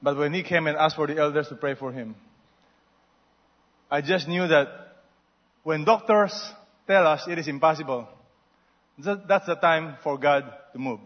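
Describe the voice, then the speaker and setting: A man's voice: fairly high pitch, normal speed, long pauses. A man preaching a sermon as pastor, emphatic and exhorting. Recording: dull sound in a reverberant hall.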